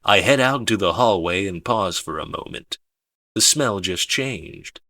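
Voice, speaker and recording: A man narrating a first-person audiobook in a measured, understated way. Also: on the low side, animated, sharp tone, no echo, studio quality.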